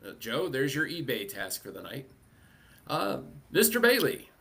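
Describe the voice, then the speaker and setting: A man's voice: mid-range voice, wildly swooping pitch, moderate pace, creaky, bright, forceful tone. A man hosting a fan panel in a casual, chatty style. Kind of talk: casual chat.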